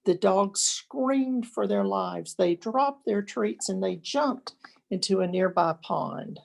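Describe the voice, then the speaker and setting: Older woman, slow husky storybook reader. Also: medium pitch, expressive. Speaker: grandmother reading a picture book. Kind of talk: expressive children's storybook read-aloud.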